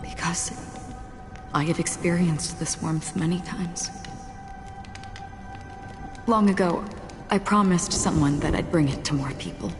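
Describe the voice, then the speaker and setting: A woman playing a solemn, wistful wandering swordswoman in a video game, speaking in a measured, reflective way. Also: on the low side, close to an everyday voice. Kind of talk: English video game voice acting. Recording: long reverb under loud music.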